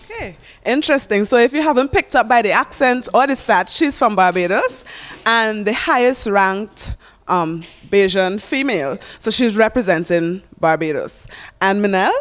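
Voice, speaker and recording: A woman's voice: mid-range voice, animated, normal speed, a bit bright. A woman presenting and interviewing guests as host of a music talk show.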